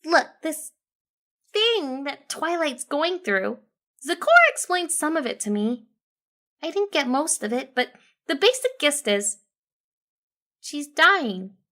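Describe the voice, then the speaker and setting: A woman's voice: high voice, sing-song, takes it slowly, pauses often, sharp tone, voice pushed up, exaggerated voice. A woman voicing a hyper, scatterbrained young female cartoon pony with a rambling, emphatic, informal way of talking. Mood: serious, urgent.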